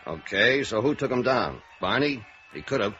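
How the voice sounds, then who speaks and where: An actor playing a guarded, middle-aged American police sergeant in 1950s radio noir, clipped and deadpan. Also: male voice, medium pitch, normal speed. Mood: guarded, dry. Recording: dull vintage radio recording, faint music.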